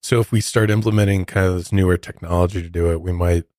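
A man's voice: low-pitched, fairly even intonation, fast, warm tone, no room sound, studio quality. A man co-hosting a true crime podcast, chatting casually and speaking directly to the listeners.

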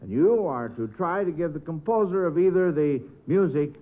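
A man's voice: a little high, expressive, normal speed, phone-quality sound. A man hosting a quiz show in a 1950s radio style, heard on an old recording.

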